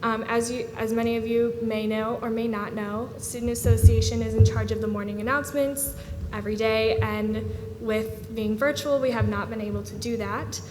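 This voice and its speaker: Earnest teenage girl in an echoey boardroom. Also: fairly high pitch. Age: late teens. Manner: steady and earnest, frequent "um" pauses. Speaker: student association president. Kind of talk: formal report to a school board. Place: school board meeting room. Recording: reverberant room with steady background noise.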